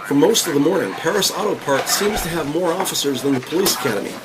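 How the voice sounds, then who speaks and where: A man voicing the narrator of a crime audio drama, describing scenes in a measured, wry, scripted way. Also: on the high side, lively intonation, noisy background, lightly acted. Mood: matter-of-fact.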